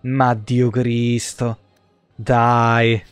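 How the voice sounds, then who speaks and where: Grumbling man streamer with an even pitch. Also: extremely slow, clean tone. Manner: grumbling, foul-mouthed. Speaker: video game streamer. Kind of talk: live gameplay commentary with casual chat. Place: indoor room at a streaming desk.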